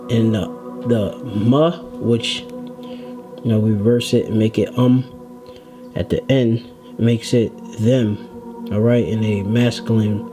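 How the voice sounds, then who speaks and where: A man with a slightly low voice teaches scripture informally, one word at a time. His delivery is very slow, halting and deliberate, with frequent little check-ins to the listener. Music plays clearly behind him. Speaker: religious Hebrew language teacher.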